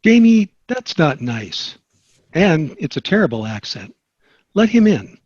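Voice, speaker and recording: A man playing a practical, coaxing suburban sitcom father at a table read, husky and animated, over a dull online-call sound. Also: fairly high pitch, unhurried, dry recording, full character voice. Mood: firm, instructing.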